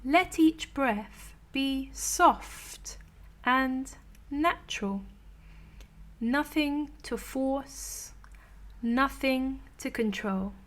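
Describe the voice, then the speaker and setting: A woman leading a guided meditation in a soothing, gentle, unhurried manner with long pauses between phrases. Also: sits high, animated. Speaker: meditation teacher.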